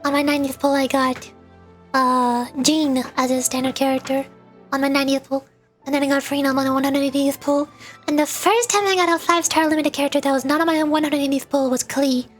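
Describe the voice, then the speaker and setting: A woman's voice: sits high, fairly even intonation, fairly quick. A woman hosting a gaming livestream, chatting casually to viewers in a rambling, playful way. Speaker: livestream host, VTuber. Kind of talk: casual chat to stream viewers while gaming. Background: background music.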